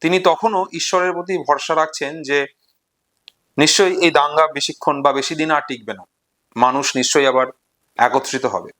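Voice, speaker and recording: A man giving an informal literary lecture and retelling stories, in deliberate, measured phrases broken into short segments. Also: a little high, fairly bright tone. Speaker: literature explainer and presenter.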